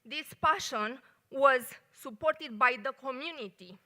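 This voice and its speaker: A woman from Romania with a Romanian accent, an engineer giving a short live talk at a café event, speaking carefully with many pauses. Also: high-pitched, pushed and bright. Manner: careful non-native phrasing with many pauses.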